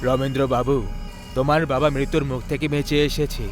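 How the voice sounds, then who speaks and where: A man playing a calm character who reassures a worried son in a measured way, in horror animation dialogue. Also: mid-range voice, music in the background, pitched below the performer's own voice, natural voice. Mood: calm reassurance.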